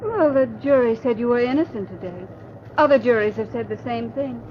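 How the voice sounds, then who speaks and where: A woman's voice: fairly high pitch, expressive, fairly quick, lightly acted. Coy, lilting radio-drama dame played by a woman. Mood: coy teasing. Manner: coy, teasing, arch. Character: plucky coy woman reporter posing as a thrill-seeking socialite. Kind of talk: 1940s radio crime drama acting. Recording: muffled old radio recording, noisy.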